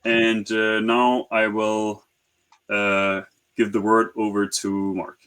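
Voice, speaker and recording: A man's voice: lowish voice, level tone, takes it slowly, fairly bright tone, clean tone. Hesitant man stumbling over repeats. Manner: hesitant, many repeated words and uhs. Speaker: startup founder. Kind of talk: webinar talk.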